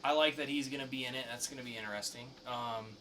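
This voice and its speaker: Bright-voiced man chatting on a noisy podcast. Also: mid-range voice, fairly even intonation, quick pace. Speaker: podcast co-host. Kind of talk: casual pop-culture news chat.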